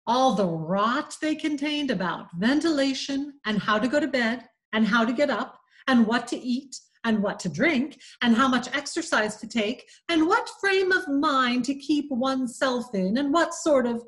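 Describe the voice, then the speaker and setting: A woman with a New England accent reading a classic novel aloud, in a measured, expressive storytelling manner. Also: a little high. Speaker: community reader.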